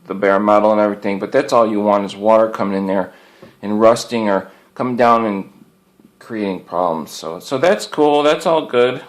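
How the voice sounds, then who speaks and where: A man's voice: lowish voice, moderate pace. Man rambling through a casual show-and-tell. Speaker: hobbyist product reviewer.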